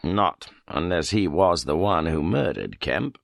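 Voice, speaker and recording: A man's voice: lowish voice, very animated, normal speed, vocal fry, fairly bright tone, no room sound, studio quality, heavily acted. A man voicing a dryly ironic, irritable middle-aged chief inspector in an audiobook. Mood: curt, dry.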